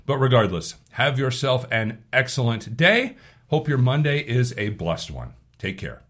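A man leading a devotional sermon and prayer, his voice hoarse from allergies, talking earnestly and often repeating words as he gathers his thoughts. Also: mid-range voice, big pitch swings, unhurried, a bit bright. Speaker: pastor.

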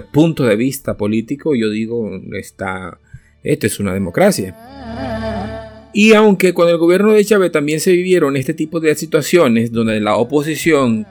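A man's voice: mid-range voice, darkish tone. Man hosting a solo opinion podcast. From Venezuela. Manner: unscripted and searching, with restarts and filler pauses. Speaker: podcast host.

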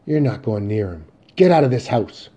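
A wounded, furious mother, acted out by a man in a stage drama, scolding her grown sons. The voice is husky, lifted above the reader's own and very mellow in tone, with emphatic commands. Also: medium pitch, normal speed, pitched above the performer's own voice, close to an everyday voice. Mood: commanding.

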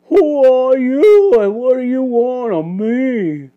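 A woman's voice: high-pitched, lively intonation, takes it slowly, narrow, tinny audio, pitched above the performer's own voice. A woman voicing a frightened, humble male mouse carpenter in a storybook, pleading in folksy working-class speech. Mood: alarmed, wary.

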